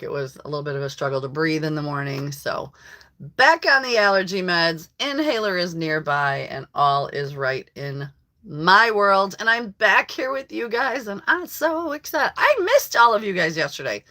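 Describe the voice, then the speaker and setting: Hoarse, bright-voiced woman live-streaming. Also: low-pitched, animated, moderate pace. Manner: chatty, rambling, laughs often. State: hoarse from allergies. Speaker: livestream host. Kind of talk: casual live-stream chat. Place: rural home.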